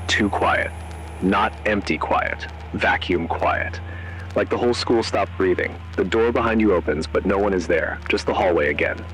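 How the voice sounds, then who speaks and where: A man narrates horror in a measured, suspenseful way. His sentences come short and clipped, addressed straight to the listener. Faint music runs beneath him. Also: low-pitched, a bit bright, low-quality recording. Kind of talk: scripted second-person horror narration.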